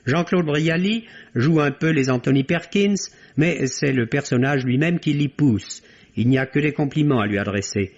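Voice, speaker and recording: A man's voice: fairly high pitch, moderate pace, breathy edge. A man delivering a scripted film review in a formal, literary, rhetorical manner, as on 1960s French radio. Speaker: film critic on a radio program. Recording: dull, lo-fi vintage radio recording.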